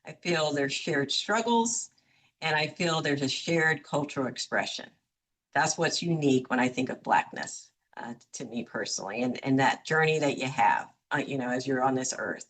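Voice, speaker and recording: A woman answering as an interview guest, thoughtful and measured, easing in with small fillers. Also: very deep voice, expressive, a bit bright.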